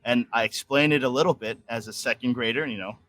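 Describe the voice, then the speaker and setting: A man with a plain General American accent, talking casually as a podcast guest. He rambles through his stories with frequent restarts, at a middling pitch. The sound is close and dry, with no room around it. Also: fast, sharp tone, no echo. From United States. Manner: rambling storytelling with many restarts.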